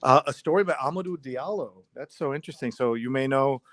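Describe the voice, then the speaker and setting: A man's voice: medium pitch, brisk, a bit bright, no echo. A middle-aged man hosting a casual live read-along, chatting conversationally and putting in questions and asides. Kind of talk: casual on-air chat about newspaper stories.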